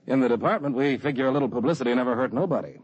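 An actor playing a gruff but friendly homicide detective sergeant in a 1940s radio drama, talking in a blunt, folksy way. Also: male voice, medium pitch, very fast, muffled recording, close to an everyday voice. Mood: breezy. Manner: blunt, folksy street talk. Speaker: police detective.

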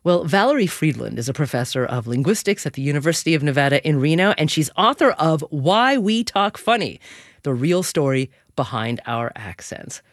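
A woman's voice: sits low, very animated, normal speed, no room sound. A woman hosting a public radio interview show, conversational and repeating words as she thinks aloud on a studio microphone.

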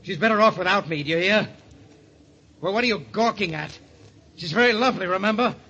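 An actor playing a tormented, obsessive newlywed professor on the edge of madness, halting and repeating himself in an old radio thriller style. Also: male voice, medium pitch, big pitch swings, natural voice. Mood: agitated, hostile. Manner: halting, repetitive, anguished. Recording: muffled, hissy old radio recording.

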